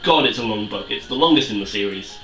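A man's voice: lowish voice, expressive, fast talker, a bit bright, far from the mic, soft background music. A man in his twenties with a Welsh accent, chatting animatedly and self-mockingly in a casual book review vlog. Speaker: book vlogger. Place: small room with bookshelves, indoors. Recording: roomy echo, mic set back.

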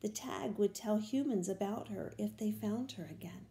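An older woman reading a children's picture book aloud in a deliberate, expressive way, stressing key words. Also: mid-range voice, echoey room. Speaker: read-aloud storyteller. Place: small room at home, indoors.

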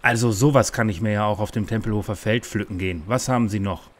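A man's voice: on the low side, fast talker, close to an everyday voice. An actor playing an unhinged, paranoid conspiracy-minded man with stilted speech in a parody detective audio drama. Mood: stiffly polite. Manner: stilted politeness breaking into paranoid ranting. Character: unhinged paranoid conspiracy-raving man, first stiffly polite.

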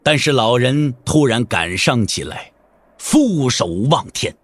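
A man's voice: mid-range voice, big pitch swings, a little slow. A man giving dramatic scripted narration for a martial arts audio drama. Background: background music.

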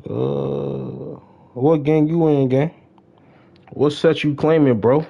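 A young American man chats casually as he streams a game. His voice sits at a middling pitch and his talk is slangy, looping back on the same phrases. The mic sounds slightly dull and lo-fi. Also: steady tone, slow, vocal fry. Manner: slangy, repetitive greetings to viewers. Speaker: livestreamer. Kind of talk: casual gaming livestream chat.

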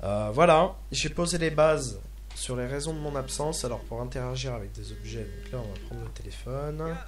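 A slightly hoarse young man hosting a casual video game let's play, hesitant and full of filler sounds. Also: mid-range voice, normal speed, a crowd in the background. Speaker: gaming video host.

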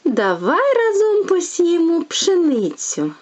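A woman's voice: very high-pitched, wildly swooping pitch, slow, voice pushed up, exaggerated voice. A woman voicing a sly, lazy female fox in a children's storybook, coaxing and sweet-talking in a sing-song way.

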